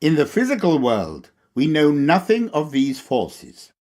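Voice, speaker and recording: A male narrator reads a formal lecture at a slow, deliberate pace. His pitch moves widely as he sets out each phrase with measured care. The delivery is scripted and instructive. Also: huge pitch swings, a little mellow. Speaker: reader of a lecture.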